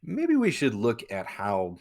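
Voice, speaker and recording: Man, podcast host, medium pitch, very lively intonation, emphatic, casual, sweary. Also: measured pace. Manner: emphatic, profane, acts out imagined quotes. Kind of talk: casual chat.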